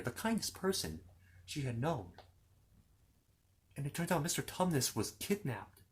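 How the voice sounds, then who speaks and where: A man telling a children's fantasy story in an improvised ASMR style, rambling along with asides and repeated phrases. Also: on the high side.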